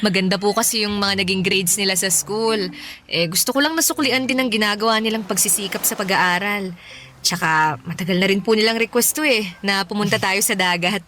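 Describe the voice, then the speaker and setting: A woman's voice: medium pitch, a little fast, a little hiss or hum, natural voice. A woman playing a caring widowed mother in a radio drama, talking in an affectionate, everyday way. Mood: warm, conversational. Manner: affectionate, gently cautioning everyday talk. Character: caring widowed Filipino mother of two young boys.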